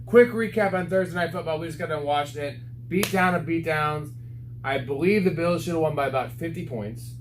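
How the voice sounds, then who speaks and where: A man's voice: fairly high pitch, fairly quick, background noise. A man hosting a sports podcast, chatting and telling stories casually in sweary, slangy buddy talk. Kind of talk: casual chat and storytelling.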